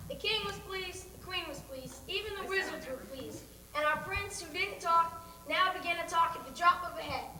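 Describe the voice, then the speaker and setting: A woman's voice: very high voice, normal speed. A woman acting as a theatrical fairy-tale narrator in an amateur stage play. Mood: storytelling. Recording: distant, echoey, noisy home-video stage recording.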